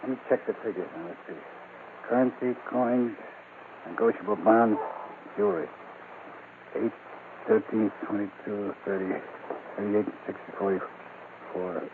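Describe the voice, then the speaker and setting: Commanding gang boss on old radio. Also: male voice, lowish voice, expressive, measured pace, long pauses, narrow, tinny audio. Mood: businesslike. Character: commanding, methodical male leader of a robbery gang. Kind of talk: old-time radio crime drama acting. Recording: band-limited, hissy old radio recording.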